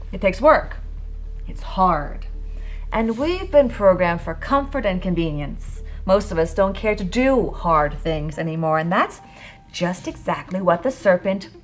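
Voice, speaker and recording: A woman with a fairly low voice narrates a scripted podcast monologue. Her delivery is theatrical and sardonic, leaning hard on key words for emphasis. Soft music plays behind her. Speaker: podcast host. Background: music bed.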